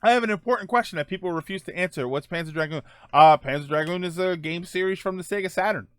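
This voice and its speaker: A man's voice: sits high, quick pace, a bit bright, clean tone. A man hosting a video game livestream, casually reading out news notes and dropping in informal asides.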